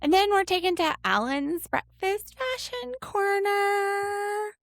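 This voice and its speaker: A woman's voice: very high-pitched, slow, gravelly, dry recording, clean studio sound. A woman with a Southern accent co-hosting a podcast, recapping a reality show in a chatty, playful way. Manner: chatty, animated, playful asides. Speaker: podcast co-host. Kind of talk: casual recap chat.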